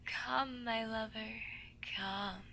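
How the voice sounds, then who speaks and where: An actress playing a seductive undead vampire woman in a radio horror play, slow, breathy and beckoning. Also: on the high side, fairly even intonation, bright, forceful tone, slight background noise, full character voice. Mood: seductive, hungry. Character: seductive undead female vampire, luring and hungry. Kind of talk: vintage radio horror acting.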